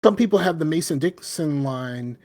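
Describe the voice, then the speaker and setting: Southern guy in his 40s or so, kinda higher voice, rambles w/ lots of fillers on a podcast. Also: lively intonation, moderate pace, darkish tone. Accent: Southern American. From Southern United States. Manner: rambling, many fillers and "you know what I mean". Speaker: podcast co-host. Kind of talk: casual chat.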